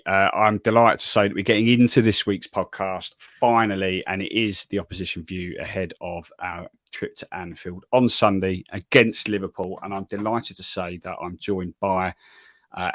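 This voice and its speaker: A man with an English accent hosting a football podcast, talking calmly and evenly in a self-deprecating way. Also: on the low side, no room sound. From England. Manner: calm, even, self-deprecating. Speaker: podcast host. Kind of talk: solo podcast monologue and interview.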